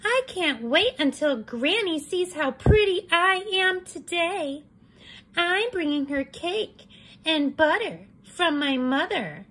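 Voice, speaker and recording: Sassy, self-admiring little girl, acted by a woman, high swooping pitch, preening picture-book delivery. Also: animated, takes it slowly, fairly bright tone, full character voice, low-quality recording. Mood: bragging. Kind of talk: children's picture book read-aloud.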